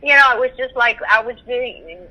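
An older woman from Texas shares memories as an interview guest. Her voice is strikingly bright and forceful. She rambles along chattily on a telephone. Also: fairly high pitch, a little fast, rough recording. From Texas, United States. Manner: talkative, rambling. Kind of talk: conversational reminiscence.

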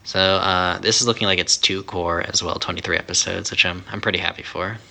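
A man's voice: deep voice, steady tone, a little fast, creaky, sharp tone. A man chatting casually as a podcast co-host, giving his impressions in a review. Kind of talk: casual anime review chat.

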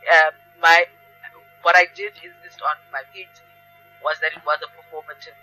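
A South African woman with a very bright, forward voice talks as a guest in a conversational interview. She is emphatic and often repeats phrases to press a point. Faint music sits behind her. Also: low voice, fairly even intonation, a little fast, highs cut off. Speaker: guest, playwright and academic.

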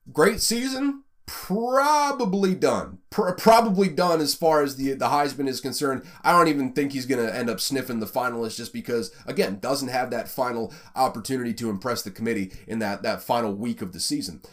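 Southern-accented male sports host, loose chatty rundown, lots of ums and uhs, mid-pitched voice. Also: lively intonation, moderate pace. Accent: Southern American. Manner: rambling, filler-heavy, conversational. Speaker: college football podcast host. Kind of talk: casual sports commentary.

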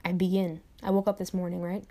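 A woman's voice: fairly low pitch, flat delivery, fast, dark tone. A young adult woman speaking to camera in a reflective motivational monologue, repeating phrases and tossing in conversational asides. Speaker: vlogger.

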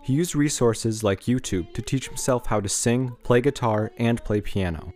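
A man narrating a podcast in a measured, scripted reading. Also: medium pitch. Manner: measured, even read delivery.

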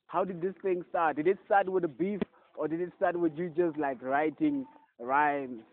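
A man's voice: a little high, fairly even intonation, normal speed. A young man hosting a casual interview, hyped and loose, repeating "yes, sir" and "my guy". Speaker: podcast host. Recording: tinny phone-like audio.

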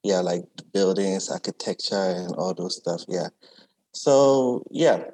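Man, low voice, easygoing guest, reflective. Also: expressive, takes it slowly, darkish tone, poor audio. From Ghana. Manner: easygoing, reflective, often adds "yeah". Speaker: artist guest. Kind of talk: casual conversation.

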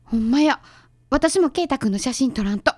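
A woman's voice: sits high, lively intonation, moderate pace. A woman playing an easygoing, sweets-loving detective's assistant with a Kansai accent in a radio drama. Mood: playful. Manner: girlish, casual, easily delighted.

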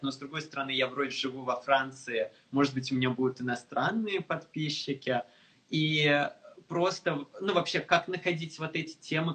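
A man's voice: on the high side, fairly bright tone. A man, a course participant, talking casually and eagerly, grateful, with hesitant fillers. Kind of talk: casual conversation.

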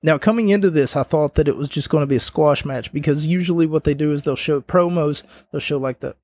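A man's voice: on the high side, fast, darkish tone, no echo. A man giving a casual spoken review and recap as host, rambling with jokey asides, his voice starting to wear out. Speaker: wrestling show reviewer, host.

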